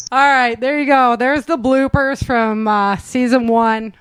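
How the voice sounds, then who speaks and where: A woman's voice: on the high side, a bit bright, clean tone, no room sound. A woman hosting a casual group chat in a chatty, appreciative way, often breaking into laughter. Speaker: host and show producer.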